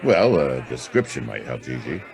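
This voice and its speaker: A hard-boiled private eye in a noir radio drama. The voice is extremely low and gravelly, wry and laconic, with busy crowd chatter behind it. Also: male voice, big pitch swings, normal speed, a little mellow, exaggerated voice. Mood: businesslike. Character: hard-boiled world-weary male private detective.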